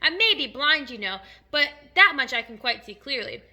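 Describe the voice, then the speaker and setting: Storybook earthworm, male, pessimistic and dramatic, high and very bright; played by a woman. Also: expressive, quick pace, exaggerated voice. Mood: indignant despair. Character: gloomy blind male earthworm, doom-laden complainer.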